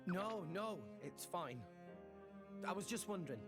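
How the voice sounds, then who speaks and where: A man's voice: sits high, animated, measured pace. An actor playing an earnest, good-natured teenage boy hero in a video game, with a hesitant, sincere delivery. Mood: curious. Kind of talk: video game cutscene voice acting. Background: orchestral music.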